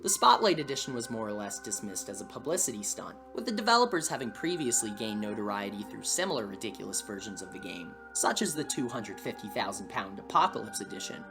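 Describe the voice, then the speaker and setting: A man reading scripted video essay narration with wry, dry humour. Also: mid-range voice. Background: background music.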